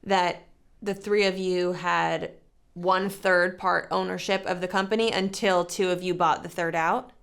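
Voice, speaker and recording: A young woman hosting a phone conversation with her father, gently prompting him with questions about the family's past. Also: fairly low pitch, fairly even intonation, measured pace, gravelly, smooth. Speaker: host interviewing her father. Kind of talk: conversational interview.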